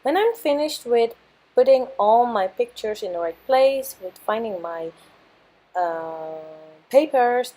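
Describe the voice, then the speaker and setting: A young woman with a hoarse, worn-out voice, casually narrating her craft process as a channel host, with frequent fillers and self-corrections. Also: medium pitch, darkish tone, poor audio.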